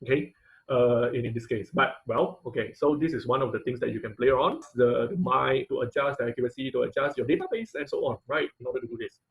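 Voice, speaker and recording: A man giving an informal live technical lesson as an instructor, speaking haltingly, repeating phrases and often checking in with "okay" and "right". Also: medium pitch, poor audio. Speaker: online class instructor.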